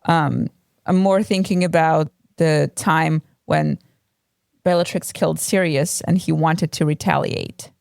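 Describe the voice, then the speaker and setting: A woman's voice: low-pitched, measured pace, vocal fry, a little mellow, no room sound, clean studio sound. A woman from Russia with a Russian accent, co-hosting a casual book podcast and talking hesitantly, with many ums and restarts. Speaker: podcast co-host. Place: home room with a cat.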